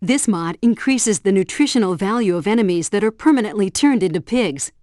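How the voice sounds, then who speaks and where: A woman's voice: medium pitch, lively intonation, a little fast, warm tone, dry recording, clean studio sound, close to an everyday voice. A woman voicing a helpful female helpdesk guide in a video game, giving scripted tutorial instructions and mission briefings with precise diction. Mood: instructive.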